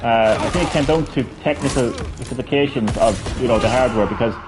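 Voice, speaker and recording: A man's voice: medium pitch, brisk, background noise. A man, chatting casually while he plays a fighting game, rambling with lots of fillers and restarts. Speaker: gaming streamer. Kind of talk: casual gameplay commentary.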